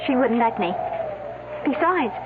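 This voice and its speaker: A woman's voice: on the high side, lively intonation, a little slow. An actress playing a pointed, sarcastic young woman student in a 1970s radio drama. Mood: bitter, accusing. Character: sharp-tongued young woman student, bitter and mocking. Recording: tinny old radio recording, faint hiss.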